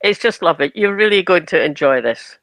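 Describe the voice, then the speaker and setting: A woman co-host gushes with enthusiasm in a gravelly voice that swings widely in pitch. The sound is dry and close. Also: lively intonation, a little fast, fairly bright tone, no room sound. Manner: enthusiastic, gushing, laughs readily. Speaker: podcast co-host. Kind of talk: casual podcast chat.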